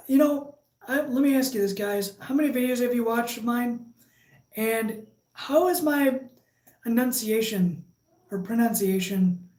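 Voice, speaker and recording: A woman with a Michigan accent hosting a casual live stream, chatting with viewers in a relaxed, halting way with lots of fillers and restarts. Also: a little high. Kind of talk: casual live chat, reading viewer comments. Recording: echoey room.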